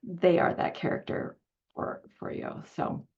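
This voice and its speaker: A woman's voice: measured pace, a little mellow. A woman hosting a casual interview, speaking conversationally, on a dull lo-fi recording. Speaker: host, moderator.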